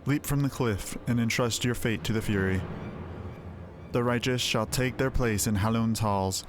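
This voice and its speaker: A man's voice: medium pitch, normal speed, background noise, close to an everyday voice. A man voicing a stern, zealous inquisitor in a fantasy game, pompous and severe. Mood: severe, solemn. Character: stern, zealous male inquisitor presiding over heresy trial. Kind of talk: fantasy game dialogue read aloud. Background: game music.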